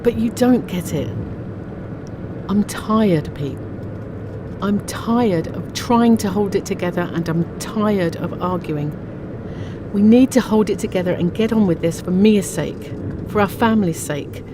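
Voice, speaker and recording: A woman's voice: mid-range voice, unhurried, lightly acted. Weary mother played by a woman, noisy background. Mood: weary, frustrated. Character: exhausted mother, strained but loving. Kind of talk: audio drama acting. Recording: clear background noise.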